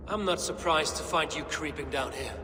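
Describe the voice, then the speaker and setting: An actor playing a blunt, defiant Viking warrior who speaks in a challenging way, in a video game. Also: male voice, a little high, normal speed, pushed and bright, slight background noise. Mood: suspicious, confronting. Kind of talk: dramatic video game acting. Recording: long reverb, music behind.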